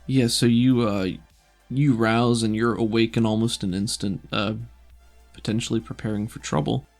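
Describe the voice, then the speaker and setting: A man narrating a tabletop game as game master, in a measured, descriptive storytelling manner. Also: lowish voice, steady tone, soft background music.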